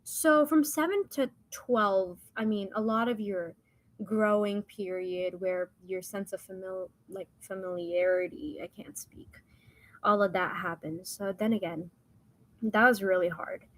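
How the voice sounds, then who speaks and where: A woman's voice: mid-range voice, a little slow, poor audio. A young woman whose first language is Hindi, from India and the United States, telling personal stories in a casual, rambling, informal way as a podcast guest.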